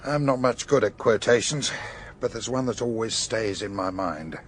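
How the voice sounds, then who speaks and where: Man acting a tired serious police chief, lowish voice, terse radio drama delivery, bit of background noise. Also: lively intonation, normal speed, natural voice. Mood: sober, businesslike. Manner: terse, sober, authoritative.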